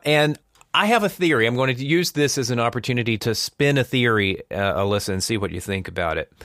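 A man's voice: lowish voice, a bit bright, dry recording, clean studio sound. A man hosting a podcast, talking through news in a chatty, explanatory way and putting questions to a co-host. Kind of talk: conversational news commentary.

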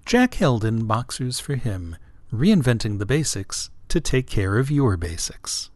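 A man's voice: mid-range voice, very animated, unhurried. An actor playing an upbeat old-time radio commercial announcer with a polished, salesman-like delivery. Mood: upbeat, selling. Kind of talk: parody radio advertisement.

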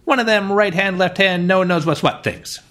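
A man playing a gruff, impatient working-class caterer with colloquial grammar in an old-time radio parody. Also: sits high, moderate pace, a bit bright. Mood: grumbling, chatty. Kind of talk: parody of 1940s radio drama.

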